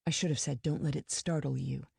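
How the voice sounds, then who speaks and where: A woman voicing a protective mother who speaks in a soft, reassuring way. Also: sits low, steady tone, fairly quick, vocal fry, slightly rough, dry recording, clean studio sound, exaggerated voice. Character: loving mother, calm and protective.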